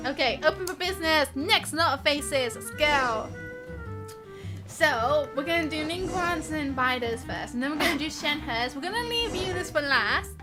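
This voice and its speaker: A woman's voice: sits high, lively intonation, normal speed. A woman live streaming a video game with casual, giggly, exclamatory commentary, reacting playfully to the dialogue. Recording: livestream with game music behind.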